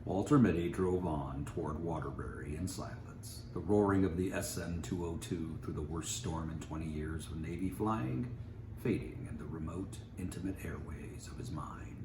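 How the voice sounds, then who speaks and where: A man narrating a scripted story aloud in an expressive way. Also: low voice, normal speed. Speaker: story reader. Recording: echoey room, faint background noise.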